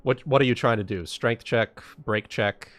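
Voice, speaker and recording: A man casually narrating as game master of a tabletop roleplaying game, thinking aloud in a halting way. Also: mid-range voice, a bit bright, no room sound.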